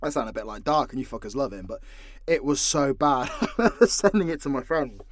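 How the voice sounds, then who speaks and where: A man's voice: mid-range voice, animated, fairly quick. A British man chatting casually as a voice actor and audio creator, sweary and self-deprecating as he tells stories. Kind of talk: casual candid Q&A chat.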